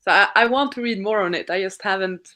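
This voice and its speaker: A woman's voice: medium pitch, fast, sharp tone. A woman co-hosting a live reading stream, chatting in a friendly way and asking the others questions. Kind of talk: casual chat.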